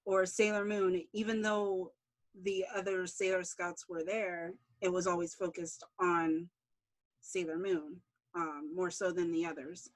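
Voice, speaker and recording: A woman's voice: on the low side, flat delivery, unhurried, poor audio. A young woman giving casual, unscripted interview answers as a writer, thinking aloud in loose, informal fragments. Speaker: interviewee, writer and show creator.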